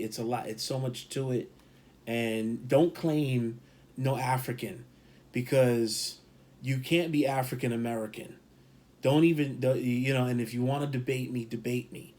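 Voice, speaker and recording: A man's voice: mid-range voice, darkish tone. Emphatic, rambling New man. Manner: rambling, emphatic, repeats himself for stress. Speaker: podcast co-host. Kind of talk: casual opinion talk.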